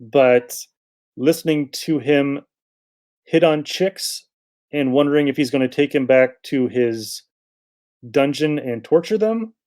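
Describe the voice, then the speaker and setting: Casual, halting male podcast host. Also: medium pitch, darkish tone. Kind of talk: casual chat.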